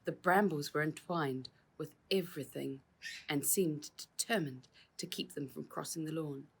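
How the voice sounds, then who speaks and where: A woman with a very deep, slightly husky voice narrates a children's book. Her delivery is measured and expressive, like an author reading their own story aloud.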